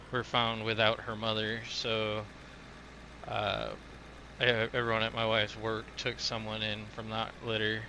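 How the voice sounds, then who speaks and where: A man with a plain Midwestern accent, from Illinois, talking with his stream chat during a game. He speaks slowly and loosely, with lots of fillers, in a bright, forward voice. Faint noise sits under the recording. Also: lowish voice, rough recording. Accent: Midwestern American. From Illinois, USA. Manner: rambling, loose, filler-heavy talk to chat. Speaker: gaming streamer. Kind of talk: casual live-stream chat while playing.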